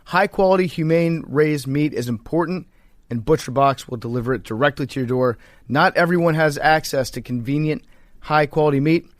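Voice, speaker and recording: A man's voice: fairly high pitch, brisk, no echo, clean studio sound. A man co-hosting a podcast, talking casually and reading ads with a joking, mock-serious delivery. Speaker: podcast co-host. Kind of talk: casual banter and host-read ad.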